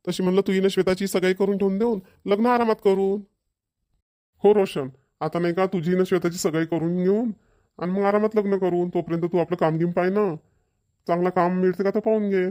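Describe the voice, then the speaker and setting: A man's voice: high-pitched, steady tone, a little fast, mellow, clean tone, no room sound, lightly acted. Guy as a pushy middle-aged village dad, varhadi accent, cartoon, dry sound, keeps repeating his point. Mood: insistent. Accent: Varhadi Marathi. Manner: repetitive, matter-of-fact persuading. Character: insistent, practical middle-aged village father. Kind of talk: amateur cartoon family comedy dialogue.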